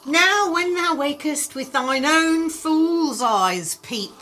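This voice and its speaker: Woman as impish fairy sprite, radio Shakespeare: high, slow rhymed chant with music underneath. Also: expressive, pushed and bright, full character voice. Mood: impish, alert. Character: mischievous fairy sprite serving the fairy king. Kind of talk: Shakespearean radio play acting.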